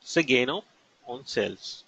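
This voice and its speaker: A man with an Indian accent teaching as a trainer, explaining examples haltingly in short, broken phrases. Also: mid-range voice, expressive, gravelly, fairly bright tone, breathy edge, no echo. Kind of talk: instructional lecture with examples. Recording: dull lo-fi narration, dry.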